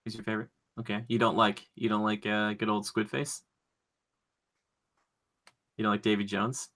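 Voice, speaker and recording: A man's voice: lowish voice, level tone, moderate pace, a bit bright, slight background noise. A man hosting a podcast in a casual, chatty, joking style. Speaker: podcast host.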